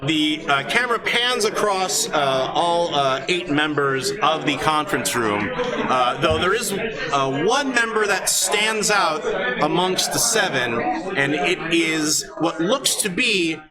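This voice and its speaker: A man running a tabletop game as game master, improvising scene descriptions haltingly with frequent drawn-out uhs. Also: a little high, expressive, poor audio. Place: live show at a gaming convention. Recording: live convention stage, loud crowd.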